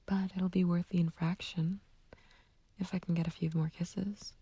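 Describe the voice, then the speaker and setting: Woman acting a breathy, whispery flirtatious villainess. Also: fairly low pitch, level tone, normal speed, mellow, no room sound. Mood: intimate, playful. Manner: teasing, coaxing, languid. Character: flirtatious, taunting female villain sweet on a captive friend. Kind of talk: ASMR roleplay, intimate scripted acting.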